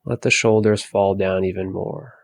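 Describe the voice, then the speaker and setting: A man leading a guided meditation for focus, giving soft, calm body-scan instructions with long pauses. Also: deep voice, creaky, no room sound.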